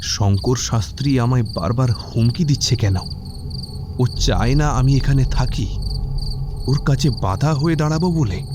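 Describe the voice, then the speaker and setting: A man's voice: mid-range voice, a little slow, noisy background, lightly acted. An actor playing a troubled but determined young man in an audio drama, with a hesitant, brooding way of talking. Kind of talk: Bengali audio drama acting.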